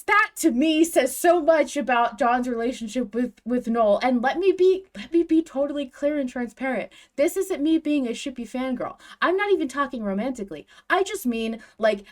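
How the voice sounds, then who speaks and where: Emphatic woman hosting a fan podcast. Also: mid-range voice. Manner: emphatic, gushing fan enthusiasm, swearing. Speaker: podcast host. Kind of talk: casual fan discussion podcast.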